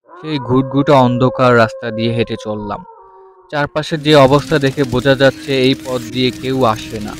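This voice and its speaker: A man narrating a scripted horror story in the first person with deliberate, suspenseful delivery. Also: medium pitch, a little hiss or hum.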